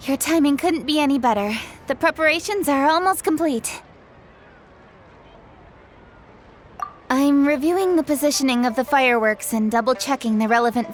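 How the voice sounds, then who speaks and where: High-voiced, brisk young female official. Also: slight background noise. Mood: polite. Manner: formal, businesslike, decisive. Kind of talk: anime video game voice acting.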